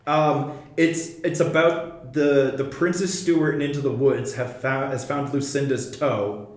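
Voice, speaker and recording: A man's voice: mid-range voice, fairly even intonation, measured pace. Dry man in a very echoey room. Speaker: podcast co-host. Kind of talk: casual chat.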